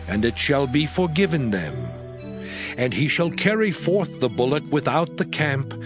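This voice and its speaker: A man reading scripture aloud in a solemn, measured dramatized narration, with music playing underneath. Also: fairly high pitch. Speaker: scripture reader. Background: music bed.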